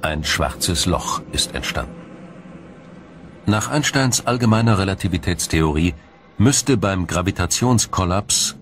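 A man reading scripted documentary narration in a measured, explanatory manner. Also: low voice.

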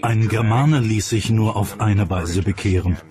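A man's voice: lowish voice, measured pace, music in the background. A man giving explanatory commentary in a documentary. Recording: crowd noise behind the voice. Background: crowd noise.

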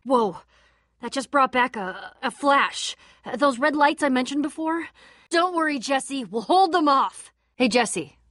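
Feisty young fighter girl for a game, wry, high voice, swings all over, dry studio sound. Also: a bit bright, no room sound. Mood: wry, warm. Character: feisty, sardonic young woman warrior in a video game. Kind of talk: animated game voice acting.

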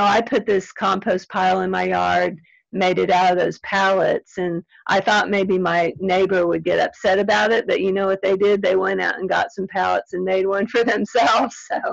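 A middle-aged Midwestern woman with a hoarse, gravelly voice, fielding audience questions as a plant scientist in a friendly, chatty way. The sound is close and dry, a little dull and lo-fi, like a webinar mic. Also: medium pitch, no echo. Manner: friendly, chatty, self-deprecating. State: losing her voice, clearing throat. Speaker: extension weed scientist answering audience questions. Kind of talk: casual Q&A at end of webinar.

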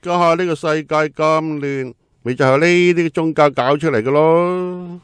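A man's voice: fairly high pitch, normal speed, smooth, no echo, clean studio sound, lightly acted. An actor playing an opinionated, exclamatory male teahouse customer with a Hong Kong accent in a radio drama. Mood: indignant.

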